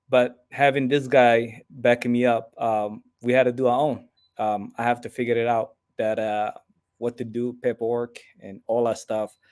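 A man from Somalia, guest on a video-call interview, talking haltingly with many fillers. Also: mid-range voice, darkish tone. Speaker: guest filmmaker. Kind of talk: casual interview. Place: home room.

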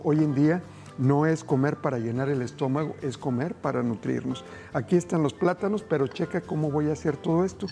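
A middle-aged man hosting a cooking show, cheerfully walking viewers through a recipe. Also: medium pitch. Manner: enthusiastic, addresses viewers directly. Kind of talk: cooking demonstration. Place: TV studio kitchen set.

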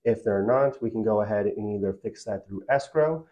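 Man, 30s, explainer role. Relaxed, dark tone, informal walk-through delivery; slightly dull room recording. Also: lowish voice, moderate pace. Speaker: title company representative explaining a document. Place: small glass-walled office meeting room.

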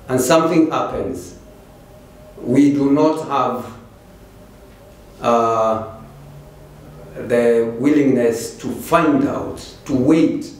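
Slow-preaching Kenyan pastor in an echoey church. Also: male voice, mid-range voice, darkish tone, slight background noise. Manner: slow, deliberate preaching with repeated phrases. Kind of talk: sermon. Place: large church, indoors. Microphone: stand mic at the pulpit.

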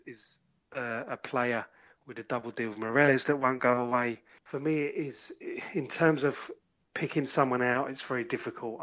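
Measured man on lo-fi audio. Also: medium pitch, a bit bright. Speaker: podcast panellist. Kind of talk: casual sports discussion.

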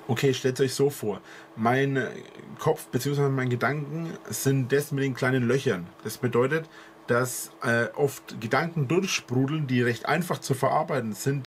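A man with a southern German dialect explaining himself earnestly to camera, halting with fillers. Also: medium pitch, a little hiss or hum. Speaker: video creator explaining himself. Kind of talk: monologue to camera.

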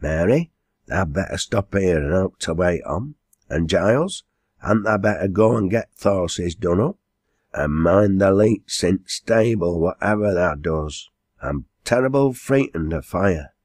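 A bossy, practical inn landlady from a storybook , played by a man hands out orders in Lancashire dialect. The voice is husky and raised a little in pitch, with drawn-out words. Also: expressive, a little mellow, no room sound, clean studio sound. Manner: brisk orders in broad dialect.

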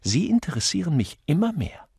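A man voicing a witty, teasing gentleman sleuth in an audiobook, with an airy, flowery, mock-lecturing manner. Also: fairly high pitch, sing-song, brisk, creaky, breathy edge, dry recording, clean studio sound, pitched above the performer's own voice, exaggerated voice. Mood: playful, curious.

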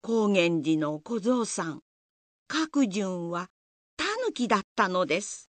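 A woman's voice: mid-range voice, sing-song, takes it slowly, no room sound. A woman narrator telling a scripted folk tale in a storytelling style.

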